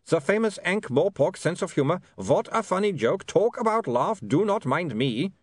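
A long-suffering, fussy vampire from a comic storybook. The voice is pitched up and very animated, with stilted, courteous phrasing and a dry, close sound. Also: male voice, normal speed, dry recording. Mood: sour, sarcastic. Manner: stilted, formal phrasing with odd idioms. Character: polite, fussy, long-suffering foreign male vampire photographer.

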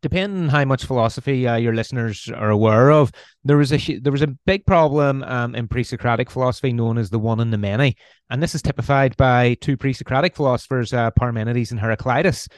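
A man in his forties with a Belfast accent talks through philosophy as an interview guest. He pauses a lot, filling the gaps with ums and restarting sentences. His voice sounds dry and close, with little room around it. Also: mid-range voice, no echo. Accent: Northern Irish, Belfast. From Northern Ireland. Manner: hesitant, many ums and restarts. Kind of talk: conversational explanation.

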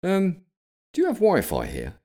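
A man's voice: high voice, huge pitch swings, measured pace, dark tone, voice pushed up, full character voice. A man voicing an awkward, down-to-earth young man in a narrated story.

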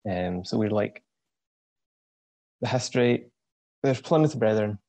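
A young man in his twenties with a Scottish accent, a guest on an interview, explaining things thoughtfully with frequent pauses and ums. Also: fairly low pitch, expressive, dark tone, no echo. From Scotland. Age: 20s. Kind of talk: casual explanatory talk.

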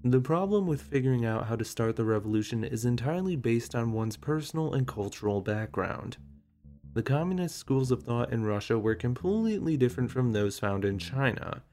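A man narrating a scripted history explainer, mixing steady exposition with wry conversational asides. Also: mid-range voice, normal speed, soft background music.

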